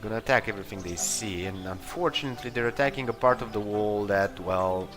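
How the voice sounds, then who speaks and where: Guy with a lowish voice doing a casual lets play, hesitant, thinking out loud, game music in the back. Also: brisk. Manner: hesitant, thinking aloud while deciding moves. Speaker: let's play host. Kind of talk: casual improvised gameplay commentary. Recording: game music under commentary. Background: video game music and battle sounds.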